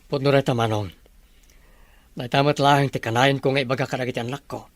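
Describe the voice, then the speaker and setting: An earnest family father, acted by a man in an Ilocano radio drama. His voice is medium in pitch and sincere as he speaks with relatives. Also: steady tone, a little fast, close to an everyday voice. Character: earnest father and younger brother who values schooling.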